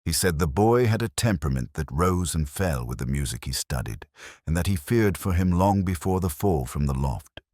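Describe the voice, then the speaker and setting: Man acting as brooding male detective, extremely low voice, lively pitch movement, measured literary mystery narration, reflective. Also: no echo, studio quality. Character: brooding, observant male police detective narrating his case. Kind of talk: scripted first-person mystery narration.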